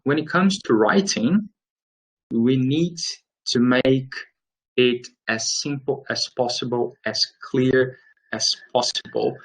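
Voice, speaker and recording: A man's voice: mid-range voice, poor audio. A man in his late thirties from Brazil with a Brazilian Portuguese accent, presenting slides in an explanatory way with hesitant phrasing. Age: late 30s. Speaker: webinar presenter. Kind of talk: instructional slide presentation, semi-scripted talk.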